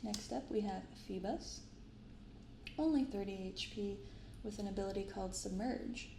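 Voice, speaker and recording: A soft-spoken woman hosting an ASMR video, reading aloud and commenting casually in a gentle, quiet delivery. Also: medium pitch, moderate pace, gravelly. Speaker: host of a card-opening channel. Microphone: binaural microphone. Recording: roomy sound with some background noise.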